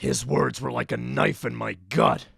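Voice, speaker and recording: A man playing a tough, hot-tempered, guilt-ridden young man in an anime fandub, intense and halting, moving between hushed confession and yelling. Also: medium pitch, steady tone, creaky, noisy background. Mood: anguished, remorseful. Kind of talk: dramatic anime-style fandub acting. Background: faint music behind the voice.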